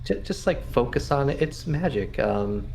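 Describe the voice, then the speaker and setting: A man's voice: medium pitch, expressive, moderate pace, gravelly, darkish tone. A man playing a tabletop roleplaying game, chatting casually and thinking aloud as he plans, somewhat hesitantly. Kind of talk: casual in-game planning chat.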